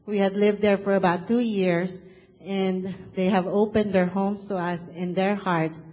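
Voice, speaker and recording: A woman's voice: medium pitch, level tone, measured pace, darkish tone, poor audio. A woman from the Philippines with a Filipino accent, whose first language is Tagalog, earnestly introducing the guest speaker at a church service. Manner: earnest, enthusiastic. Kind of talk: formal introduction. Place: church sanctuary.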